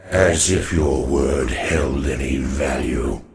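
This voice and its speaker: A man playing a grave, proud ancient alien warrior in a sci-fi game, with a gravelly voice and solemn, stern, formal delivery. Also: takes it slowly, a little mellow, heavily acted. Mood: indignant. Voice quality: deep, gravelly. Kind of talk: dramatic sci-fi game voice acting.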